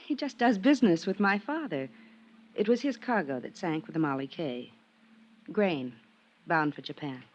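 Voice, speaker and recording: A woman's voice: lowish voice, moderate pace, natural voice. An actress playing a poised, guarded young American woman, a captain's loyal daughter, in a 1950s radio drama. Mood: measured, reflective. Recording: dull vintage radio recording.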